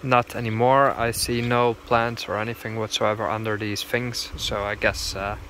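Travel vlogger, male, even monotone delivery, slight outdoor noise, handheld camera. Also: lowish voice. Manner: relaxed, explanatory, many "uh" pauses. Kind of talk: casual travel vlog narration. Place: outdoors on village streets and in a parked car.

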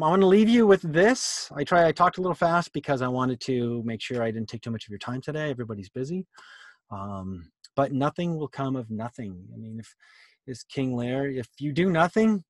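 A man's voice: medium pitch, animated, normal speed, dry recording. A middle-aged man presenting informally on a video call in an encouraging, conversational way. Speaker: workshop presenter. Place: indoor room on a video call. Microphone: computer mic on a video call.

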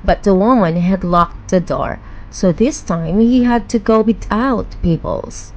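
Slow-paced woman storyteller over music. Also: medium pitch, slight background noise, poor audio. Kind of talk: scripted bedtime fairy tale narration.

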